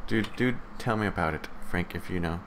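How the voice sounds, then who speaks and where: A man's voice: sits low, a little fast, a bit bright, a little hiss or hum. A man co-hosting a live podcast in casual banter. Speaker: podcast co-host. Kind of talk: casual banter, reading out chat questions.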